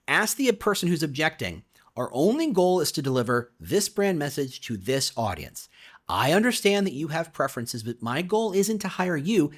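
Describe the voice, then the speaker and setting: A man reading a conversational, scripted explainer in an emphatic way, often putting questions directly to the listener. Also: fairly high pitch. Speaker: author reading own business audiobook.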